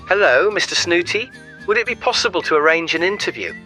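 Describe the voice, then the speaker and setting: A man's voice: sits high, expressive, fairly quick, pushed and bright, soft background music, low-quality recording. A man playing an inquisitive interviewer who asks questions in a mock documentary audio drama. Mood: polite.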